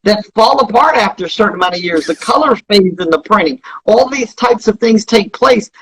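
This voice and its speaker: A man's voice: high voice, lively intonation, a little fast, fairly bright tone, husky, low-quality recording. A man giving promotional interview talk as a company founder, speaking earnestly and repeating points for emphasis. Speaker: guest, company founder promoting his service.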